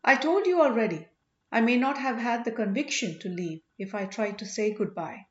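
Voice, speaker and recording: An earnest banished young king as a woman reader plays him speaks courteously and with devotion. The voice is fairly high, in storybook dialogue with noticeable room echo and a slightly dull sound. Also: normal speed, natural voice. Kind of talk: audiobook character dialogue. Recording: roomy, slightly dull home recording.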